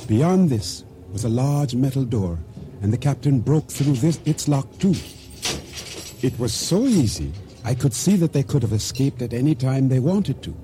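A man acting a regretful island boatman in a radio drama, narrating and speaking in a solemn, measured way. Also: mid-range voice, lively intonation, dark tone, close to an everyday voice. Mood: measured, tense. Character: regretful male island boatman recounting his downfall. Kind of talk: first-person narration and dialogue in radio drama.

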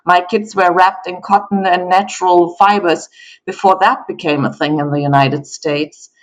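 A middle-aged woman with a German accent, a native German speaker, talks as an interview guest. She speaks in a measured way and tells stories from her own life. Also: fairly low pitch, fairly even intonation, rough recording. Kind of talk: conversational interview.

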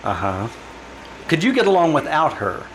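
An actor playing a firm, probing doctor in an old-time radio drama, with a measured, authoritative manner. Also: male voice, fairly high pitch, huge pitch swings, fairly bright tone, slight background noise, rough recording. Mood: clinical, probing. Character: probing, firm male doctor questioning a patient.

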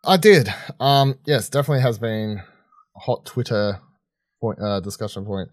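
Low-pitched man chatting casually about films. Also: moderate pace, no echo, studio quality. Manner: rambling, sweary, informal. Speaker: podcast co-host reviewing films. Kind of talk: casual film review chat.

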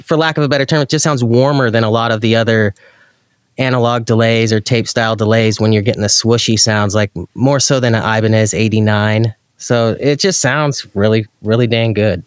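A man with a clear, smooth voice talks casually as an interview guest. He sounds like a laid-back enthusiast, full of slang. The sound is dry, with no room around him. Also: on the low side, steady tone, a little fast, no echo. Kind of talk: casual chat.

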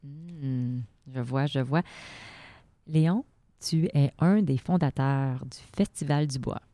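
A woman's voice: rumbling low, slow pace, dark tone, no room sound. A woman with a Québécois accent hosting a podcast around a table, upbeat and welcoming, laughing easily. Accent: Québécois French. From Québec. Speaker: podcast host. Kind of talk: casual podcast chat.

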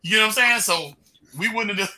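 A man with a very bright, forward voice that sits high for a male speaker. He talks casually as a podcast panelist, in loose slang full of little check-in phrases. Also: brisk. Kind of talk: casual chat.

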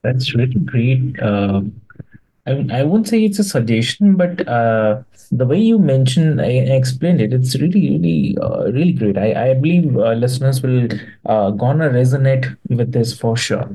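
A man's voice: mid-range voice, animated, moderate pace, warm tone, low-quality recording. A man hosting a podcast interview, speaking hesitantly with many fillers and restarts. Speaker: podcast host.

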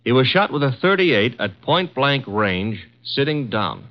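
An actor playing a brash young assistant who talks in a breezy, sarcastic manner, in 1950s radio drama style. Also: male voice, mid-range voice, big pitch swings. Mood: wry. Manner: breezy, sarcastic, quick with a comeback. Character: wisecracking young male assistant, brash and cheeky. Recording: dull lo-fi 1950s radio broadcast.

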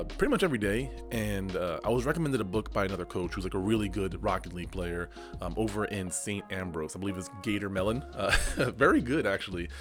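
A young adult man hosting a casual solo podcast, hoarse from losing his voice, rambling and correcting himself as he goes. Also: fairly low pitch. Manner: rambling, self-correcting, laughs often. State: hoarse, lost voice. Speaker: podcast host and esports coach.

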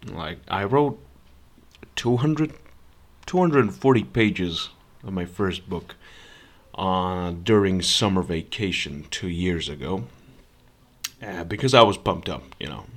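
Young man, sarcastic, profane, ranting podcast host, slow speech, lowish pitch. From Serbia. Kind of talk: ranting monologue.

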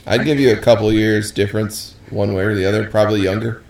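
A man's voice: lowish voice, fairly even intonation, fast talker, creaky, a little mellow. A man of twenty-nine hosting a casual interview, speaking in an informal, easygoing and slightly hedging way. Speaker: interviewer, host. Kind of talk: casual chat.

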